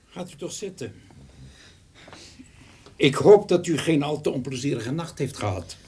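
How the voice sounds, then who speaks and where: An actor in a Dutch radio play playing a shrewd, patient narcotics investigator who speaks formally and deliberately. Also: male voice, fairly high pitch, animated, a little mellow, slight background noise. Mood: measured, probing. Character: shrewd, patient male police narcotics investigator, calmly coercive. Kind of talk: Dutch radio drama acting.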